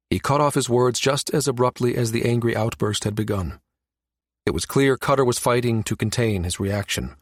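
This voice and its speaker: A man's voice: fairly low pitch, no room sound, studio quality. A man reading scripted science fiction narration for an audiobook.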